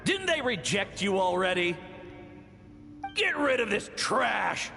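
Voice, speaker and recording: A man's voice: high voice, very animated, takes it slowly, creaky, bright, forceful tone, heavily acted. A man playing a scornful, harsh bully in a video game, sneering as he berates. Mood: contemptuous. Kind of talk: video game drama acting. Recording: long echoing reverb, music underneath.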